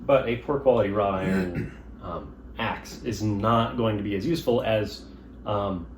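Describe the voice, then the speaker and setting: A man who works as a blacksmith, speaking in a casual interview in a relaxed, thoughtful way with frequent ums. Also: lowish voice, measured pace. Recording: echoey room with faint noise.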